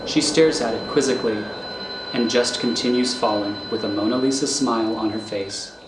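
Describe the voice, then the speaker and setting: A man's voice: medium pitch, normal speed. A man narrating scripted scene directions for a radio thriller. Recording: echoey room, clear background noise.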